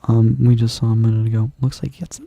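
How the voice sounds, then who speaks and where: A man's voice: mid-range voice, level tone, fast, vocal fry, dark tone, dry recording. A man with a stuffy, congested nose, casually commentating a game tournament, rambling with lots of ums and restarts.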